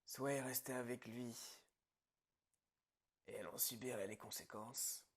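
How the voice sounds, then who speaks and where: Man playing a slow, gravelly, menacing psychopath. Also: medium pitch, steady tone. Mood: darkly casual. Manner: unhurried, hushed, dropping into whispers, darkly casual. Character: calm, menacing male psychopathic killer, falsely reassuring his captive. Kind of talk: ASMR roleplay monologue.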